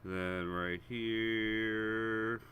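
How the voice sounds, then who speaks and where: A man's voice: fairly low pitch, fairly even intonation, extremely slow, pushed and bright, a little hiss or hum. A man with a stuffy head cold hosts a live stream, casually walking viewers through chart levels and price targets. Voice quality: congested, nasal. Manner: casual, repetitive, addresses viewers as guys. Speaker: stock trading streamer. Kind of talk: live premarket chart walkthrough.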